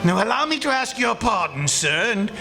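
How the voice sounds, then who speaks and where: A man acting an excitable, theatrical old miser in a live radio play, exclaiming and repeating his phrases. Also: high voice, normal speed, music in the background. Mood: jovial, generous. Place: stage before a live audience.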